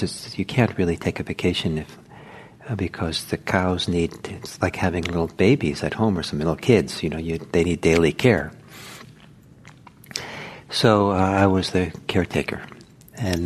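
An older man, originally from Norway and a native Norwegian speaker, giving an informal meditation talk in a gentle, unhurried way with many pauses. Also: deep voice, husky. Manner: gentle, hesitant, with frequent pauses and fillers. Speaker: meditation teacher. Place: meditation hall.

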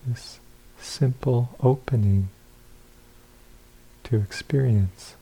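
A man giving guided meditation instructions as a teacher, calm and unhurried, with long pauses between short phrases. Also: medium pitch, creaky, breathy edge, poor audio.

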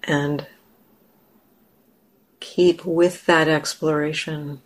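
A woman leading a guided meditation as a teacher, gentle and unhurried, leaving long pauses. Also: low-pitched, flat delivery.

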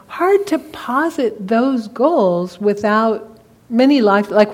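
A woman's voice: mid-range voice, darkish tone. A woman teacher of Tibetan Buddhism answering on a discussion panel, talking casually and telling stories with animation.

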